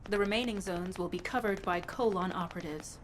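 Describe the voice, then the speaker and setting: A woman's voice: lowish voice, brisk, slight background noise, natural voice. A woman playing a dutiful, weary but determined recon soldier in a video game, speaking sincerely. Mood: businesslike, focused. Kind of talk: scripted video game dialogue.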